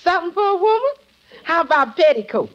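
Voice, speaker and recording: Exuberant household cook, high swooping pitch, drawn-out words, good-humoured, old radio comedy, muffled recording. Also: female voice, sing-song, very noisy. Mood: eager. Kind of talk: 1950s radio sitcom acting.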